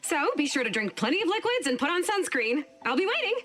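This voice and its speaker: A woman playing a bubbly, encouraging friend of the heroine in an animated video game. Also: high-pitched, quick pace, faint music behind. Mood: cheering. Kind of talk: animated game cutscene acting.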